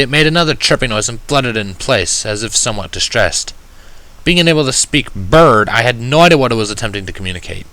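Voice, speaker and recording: A man reading a first-person story aloud with an expressive, wry, self-deprecating delivery. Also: mid-range voice, low-quality recording. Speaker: fan fiction reader.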